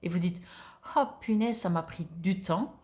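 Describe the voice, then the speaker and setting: A woman's voice: fairly low pitch, moderate pace, dark tone. A woman giving an informal spiritual card reading to viewers, speaking conversationally and hesitantly as she searches for words. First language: French. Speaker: oracle card reader.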